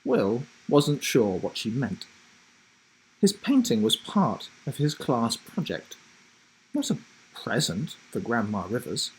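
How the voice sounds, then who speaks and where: A man chatting and reading a story to children as host of a live broadcast, speaking deliberately in short emphatic phrases. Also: medium pitch, big pitch swings, slight background noise, poor audio. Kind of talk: friendly instructional chat and storybook reading for children.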